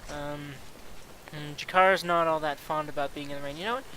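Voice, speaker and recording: A young man with a raspy voice from a cold, chatting casually and self-deprecatingly while commenting on his gameplay. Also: fairly high pitch, fairly even intonation, brisk, pushed and bright, slight background noise, low-quality recording. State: has a cold. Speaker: let's play commentator.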